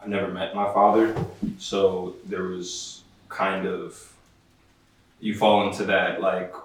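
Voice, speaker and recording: A man's voice: sits low, little pitch movement, slow pace. A man in his twenties talking casually and a bit ramblingly in a documentary interview. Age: 20s. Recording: distant mic in a very echoey room.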